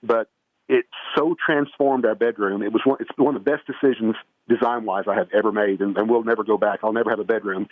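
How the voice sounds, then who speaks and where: A man's voice: fairly low pitch, fairly even intonation, fast talker, no room sound. A man guesting on a home improvement radio show comes through a narrow, tinny phone line with no room sound around him. He rambles in a folksy way, circling back and restarting his thoughts as he gives advice. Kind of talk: casual radio interview.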